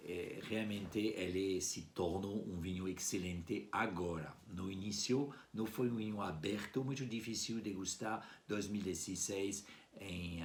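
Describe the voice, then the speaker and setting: Low-voiced Frenchman presenting wines. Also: slight background noise. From France. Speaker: wine club presenter. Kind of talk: informal wine tasting presentation. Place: at home indoors.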